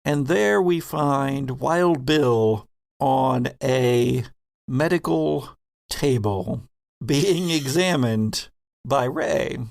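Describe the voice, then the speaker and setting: A man hosting and narrating a tabletop game as game master, dramatic and deliberate, with suspenseful pauses. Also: mid-range voice, vocal fry, a little mellow, no room sound, studio quality.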